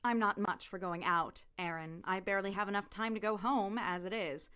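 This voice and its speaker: A woman playing a formal, curt, overworked lab colleague in an audio drama, speaking in a clipped, deadpan, precise way. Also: mid-range voice, moderate pace, clear, resonant tone, natural voice. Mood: dry.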